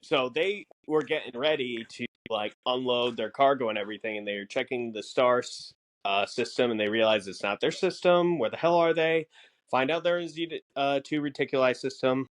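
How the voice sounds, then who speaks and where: A man co-hosting a podcast, explaining casually in a halting way, piecing his thoughts together. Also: medium pitch. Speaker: podcast co-host.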